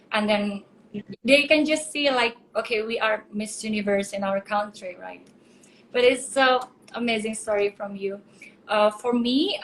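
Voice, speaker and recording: A woman in her twenties from Indonesia, speaking English as a second language in a bubbly, excitable live video chat. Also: medium pitch, slight background noise, poor audio. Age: 20s. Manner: bubbly, exclaiming, laughs often.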